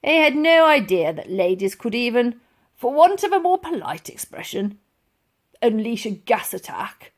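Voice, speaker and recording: A woman's voice: on the high side, sing-song, moderate pace, pitched above the performer's own voice. A woman voicing a suspicious, pompous old male sergeant major for a children's storybook, with huffy, barking, emphatic delivery. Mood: huffy, disgusted.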